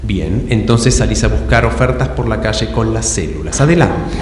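A Rioplatense improv narrator speaks in a reverberant, noisy hall. The voice is lively and mid-pitched, teasing as it lays out choices with emphasis. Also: moderate pace. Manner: teasing, emphatic, repeats prompts. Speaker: improv game narrator and player. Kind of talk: improvised stage comedy, posing choices. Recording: echoey hall, clear background noise.

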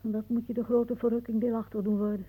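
An actress playing a sardonic, rambling old aunt in a radio play, given to suggestive musings and muttering. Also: female voice, fairly high pitch, level tone, fast talker, breathy edge. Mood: grumbling. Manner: muttering musings, then calling out loudly. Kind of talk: theatrical radio play acting. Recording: narrow, tinny band like old radio.

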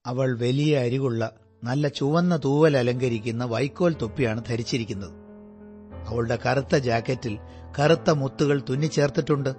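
Detective's doctor companion male actor: radio drama, attentive and earnest, medium pitch, careful descriptive delivery. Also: moderate pace, a little mellow, faint music behind, close to an everyday voice. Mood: earnest, observing.

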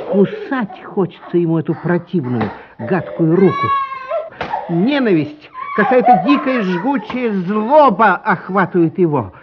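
Guy narrating a classic story dramatically, high voice, dull radio sound. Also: very noisy. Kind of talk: dramatic scripted literary narration. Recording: dull lo-fi radio, music behind. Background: music.

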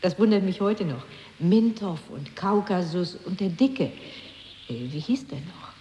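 A woman's voice: lowish voice, animated, a little slow, mellow. An actress playing a gleefully malicious woman in an avant-garde radio play, turning phrases over in incantatory wordplay. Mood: reminiscing. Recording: roomy reverberant sound, faint noise.

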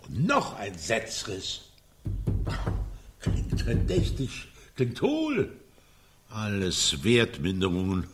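An actor playing a pragmatic, sarcastic middle-aged older brother in a German radio play, dry and given to emphatic repetition. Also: male voice, lowish voice, huge pitch swings, slow, pauses often, music in the background, heavily acted. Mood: sardonic. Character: pragmatic, sardonic older brother, a business consultant.

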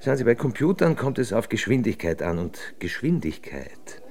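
Wry, creaky-voiced male investigator. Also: medium pitch, very animated, normal speed, darkish tone. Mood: smug, explaining. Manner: ironic, lecturing, pompous. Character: wry, pedantic male criminal investigator, smugly theorizing. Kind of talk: German radio drama dialogue.

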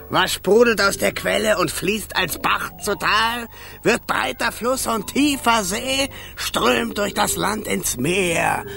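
A man's voice: sits high, vocal fry, exaggerated voice. A man voicing a slimy, boastful water sprite in a children's radio play, theatrical and taunting, relishing every splashy word. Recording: music behind the voice. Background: music.

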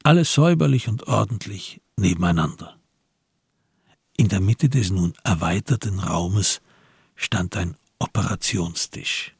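A male narrator of a radio crime play with an extremely husky, lowish voice. He reads slowly and deliberately, with dramatic weight. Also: no room sound, clean studio sound.

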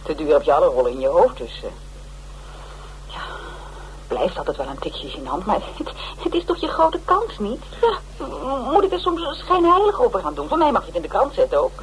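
A chatty stage actress in a radio play, her pitch swooping freely. She is gushing, brazen and theatrical. There is slight noise on the recording. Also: wildly swooping pitch, a little fast, rough recording. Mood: defensive, excited. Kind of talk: radio drama acting, casual dialogue.

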